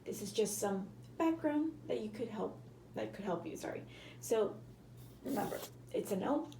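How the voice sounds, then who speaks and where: Woman reading tarot cards, hoarse and a bit gravelly, mellow tone, echoey room. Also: a little high, lively intonation, normal speed, a little hiss or hum. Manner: chatty, apologetic, hedging with fillers. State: hoarse, cracking voice. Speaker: tarot reader. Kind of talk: casual tarot reading to viewers.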